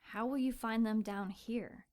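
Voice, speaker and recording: An actress plays a plucky young woman in an audio drama. Her voice sits fairly high, and she is questioning and doubtful, yet game. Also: steady tone, a little slow, darkish tone, lightly acted. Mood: puzzled, doubtful.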